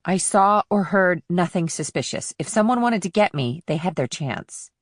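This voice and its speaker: A woman's voice: on the low side, animated, moderate pace, dry recording, clean studio sound. A woman playing a sarcastic, guarded, streetwise teenage girl in audiobook dialogue, with a flippant manner.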